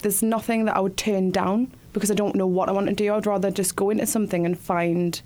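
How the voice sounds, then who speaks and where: Twenties Geordie woman, law student guest, friendly and giggly, mid-pitched. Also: level tone, fast talker, a little mellow. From Newcastle, England. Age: 20s. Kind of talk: interview answers. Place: radio studio.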